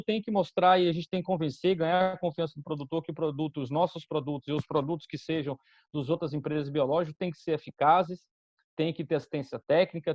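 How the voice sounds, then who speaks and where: A Bahian man in his forties answers interview questions in a measured, deliberate way, often restarting his phrases. His voice sits a little high for a man, and the recording is dry and dull, with little room sound. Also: no echo. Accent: Bahian Brazilian Portuguese. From Salvador, Bahia, Brazil. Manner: measured, with frequent restarts and fillers. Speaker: guest, company executive.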